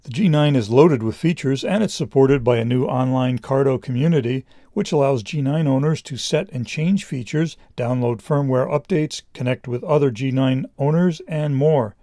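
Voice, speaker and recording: A middle-aged man reading a scripted product review in a measured, explanatory way. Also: medium pitch, mellow, dry recording, clean studio sound.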